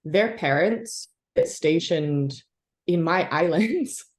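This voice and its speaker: A woman from the United States, a historian, answering interview questions in a thoughtful, halting way with many fillers and soft laughs. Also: fairly low pitch, animated.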